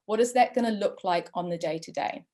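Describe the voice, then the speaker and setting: A young woman with a New Zealand accent introduces an online panel in an earnest, conversational way. She fills pauses with frequent ums as she talks. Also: mid-range voice, warm tone. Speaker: panel host. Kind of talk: webinar introduction and opinion.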